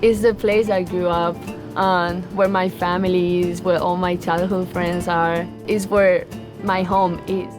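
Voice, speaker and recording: A woman's voice: fairly low pitch, level tone, measured pace. A young woman from Spain with a Spanish accent, a college basketball player speaking earnestly in an interview. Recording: music bed under the voice.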